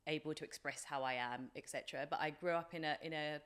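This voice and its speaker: A woman in her forties with a British accent and a low-pitched voice. She speaks as a podcast guest, reflective and informal. Her phrasing is hesitant, circling through small filler phrases as she explains herself. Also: fairly even intonation, fast talker, fairly bright tone, clean tone. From England, from age three. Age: 40s. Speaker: podcast guest, life coach. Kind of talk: reflective personal interview.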